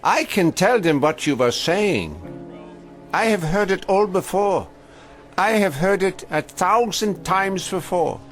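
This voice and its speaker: An old immigrant man, weary yet defiant, in a theatrical radio play. He speaks slowly with big swings of pitch and bitter emphasis, over music. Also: lively intonation. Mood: scornful, defiant. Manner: slow, emphatic, bitterly rhetorical with repeated phrases. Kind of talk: theatrical radio drama acting.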